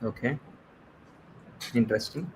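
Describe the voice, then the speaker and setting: A man's voice: mid-range voice, unhurried, a little hiss or hum, low-quality recording. A man with an Indian accent chatting casually on a cricket panel, switching between English and Kannada. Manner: mixes English and Kannada mid-sentence. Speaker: panelist on a cricket talk show.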